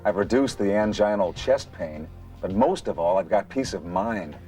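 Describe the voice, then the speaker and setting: A man's voice: lowish voice, lively intonation, normal speed, dark tone, soft background music, close to an everyday voice. A man playing a heart patient, speaking conversationally in a scripted medical dramatization. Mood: convinced.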